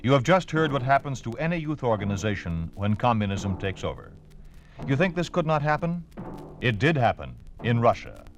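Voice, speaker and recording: A man's voice: medium pitch, sing-song, moderate pace. An American man announcing in 1950s radio style, reading a scripted narration in a solemn, formal, emphatic way. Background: music.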